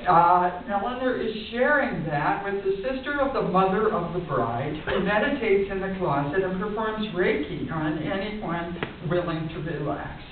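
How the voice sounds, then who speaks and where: A woman's voice: medium pitch, expressive, normal speed, few pauses. Older woman reading poetry, very echoey room. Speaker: poet reading her work to a conference audience. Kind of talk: live poetry reading with informal spoken introductions. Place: indoor conference room, at a lectern. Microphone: distant camera in the audience. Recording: distant, echoey, muffled narrow-band with hiss.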